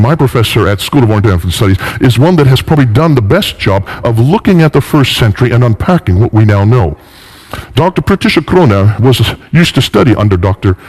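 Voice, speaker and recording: A man's voice: lowish voice, a little mellow, breathy edge. A middle-aged man giving a polemical history lecture, emphatic, with rhetorical questions and repeated phrases.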